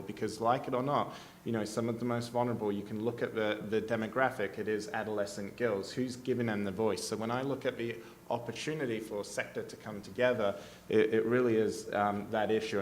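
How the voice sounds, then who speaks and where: A man's voice: lowish voice, a little hiss or hum. A man with an Australian accent speaking earnestly on a conference panel, thinking aloud and often saying "you know". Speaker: panelist, advocacy group executive. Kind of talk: panel discussion. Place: conference hall.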